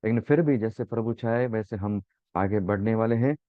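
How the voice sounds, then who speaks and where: A man with a hoarse throat teaching a Bible sermon, earnest and repeating phrases for emphasis, now and then slipping in English words. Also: on the low side, normal speed, no room sound. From India. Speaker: preacher. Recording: muffled dry recording.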